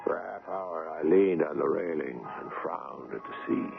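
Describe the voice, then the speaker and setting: An actor playing an earnest, indignant young ship's doctor, grave in manner, acting and narrating in a vintage radio drama. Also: male voice, very deep voice, moderate pace, gravelly, over music, full character voice. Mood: grave, reflective. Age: young adult. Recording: muffled old radio broadcast.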